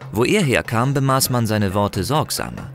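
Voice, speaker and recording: A man's voice: mid-range voice, expressive, normal speed, creaky, music in the background. A man as the grave storyteller of a barbarian saga, reading scripted dramatic narration.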